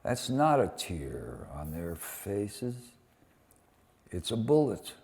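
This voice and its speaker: Man, lowish voice, extremely unhurried, dramatic poetry reading, deliberate phrasing, heavy pauses. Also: very animated, creaky, darkish tone. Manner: slow, deliberate delivery in short phrases with weighted pauses. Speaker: poet reading translated war poems at a poetry event.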